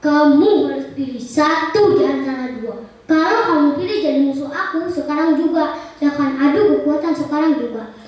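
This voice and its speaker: A girl plays a bold prince of legend, commanding and declamatory, in a staged folk tale. The voice is very high and sounds distant in a strongly echoing room. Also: moderate pace, darkish tone, close to an everyday voice. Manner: declamatory, challenging. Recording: echoey room, distant muffled mic.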